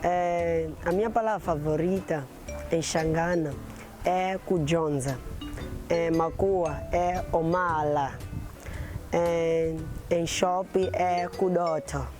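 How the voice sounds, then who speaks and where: A man, slowly introducing himself and listing words. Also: a little high, fairly even intonation, faint music behind. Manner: slow, listing words one by one. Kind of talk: short self-introduction.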